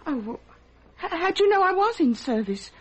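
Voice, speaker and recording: An actress playing a poor, rough young working-class woman in a radio drama, hesitant and full of exclamations. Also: very high-pitched, big pitch swings, normal speed. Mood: wary, puzzled. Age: young adult. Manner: hesitant, exclaiming, uneducated street speech. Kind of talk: old-time radio drama acting.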